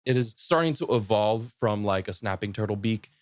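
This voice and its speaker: Male podcast speaker, low register, dry sound, casually explanatory and lightly amused. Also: animated, a little fast, dry recording.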